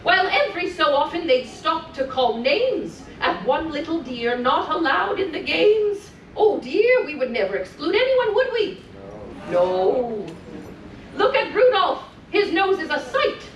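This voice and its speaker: Heard from a distance in an echoing room, a woman plays a cheerful, grandmotherly storybook wife of Santa. The voice is high and sing-song, gently encouraging as it tells rhymed tales to children and chats between verses. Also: very animated, a little slow, highs cut off, exaggerated voice. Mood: cheerful, chatty. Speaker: character storyteller at a children's event. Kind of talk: live storytelling to children, rhymed picture-book reading. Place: indoor room on a cruise ship. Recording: distant mic, roomy echo, faint noise.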